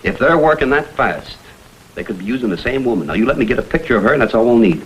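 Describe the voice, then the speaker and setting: Vintage TV crime drama: male actor as persistent American photographer-detective, urgent, earnest delivery, animated intonation. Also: mid-range voice, fast talker, slight background noise, natural voice, poor audio. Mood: reassuring, brisk.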